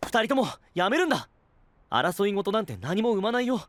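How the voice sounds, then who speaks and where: A male actor playing a gentle, responsible young knight in an audio drama, soft-spoken and earnest. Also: sits high, big pitch swings, brisk, no echo. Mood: earnest pleading. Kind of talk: Japanese anime-style audio drama acting.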